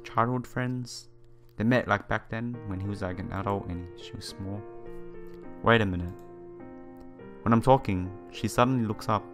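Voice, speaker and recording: A man reading visual novel text aloud as a let's player, adding his own asides in halting phrasing. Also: lowish voice, fairly bright tone. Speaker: video game let's player. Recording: music behind the voice. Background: game music.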